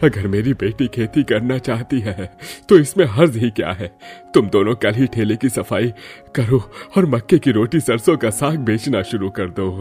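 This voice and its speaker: A man playing a stern older village man in a cartoon, speaking in a deliberate, firm way. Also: medium pitch, a little mellow, soft background music, natural voice. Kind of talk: Hindi cartoon story dialogue.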